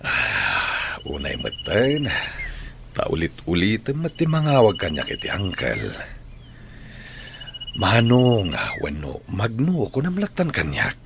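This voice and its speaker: A man's voice: deep voice, wildly swooping pitch, slow pace, vocal fry, heavy background noise, full character voice. A man with an Ilocano accent playing a rich older suitor in a radio drama, persistent and coaxing. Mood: coaxing, miffed.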